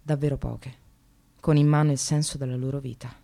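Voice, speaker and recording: An actress playing an exasperated, bitterly sarcastic receptionist in a dramatic radio monologue, shifting between muttering and outbursts. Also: female voice, rumbling low, flat delivery, a little fast, creaky, mellow. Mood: contemptuous, deadpan.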